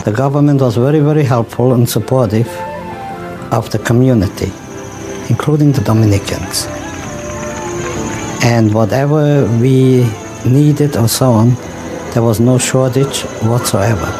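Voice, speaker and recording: A man's voice: mid-range voice, a little slow, long pauses. A man being interviewed, recalling past times in unscripted talk. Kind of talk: unscripted recollection. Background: music.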